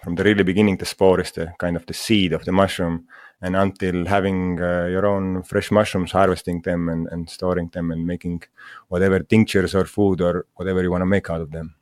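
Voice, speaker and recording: A man from Estonia, a guest in an interview, speaking English in a halting way with many fillers and restarts. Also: deep voice, flat delivery, darkish tone, no room sound, studio quality. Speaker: guest, mushroom school founder. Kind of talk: casual interview talk.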